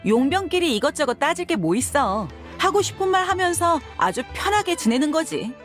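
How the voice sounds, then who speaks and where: A woman's voice: sits high, animated, moderate pace, faint music behind. A woman playing a tough, frank and confident mercenary in a video game, with a blunt, assertive way of talking. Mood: frank, breezy.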